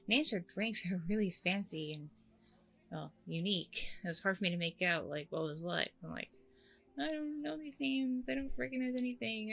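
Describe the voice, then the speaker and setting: A woman's voice: mid-range voice, creaky, a bit bright, breathy edge. A woman playing a chatty, apologetic blind date in an ASMR audio roleplay, rambling along casually and a little hesitantly. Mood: curious, chatty.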